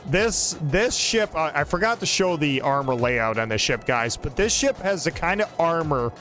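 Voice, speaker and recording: A fairly high-pitched man in his forties talks through a match in a loose, rambling way, now and then joking with a friend. Soft game music plays faintly behind him. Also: lively intonation, normal speed. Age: 40s. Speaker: gaming channel host. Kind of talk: casual gameplay commentary.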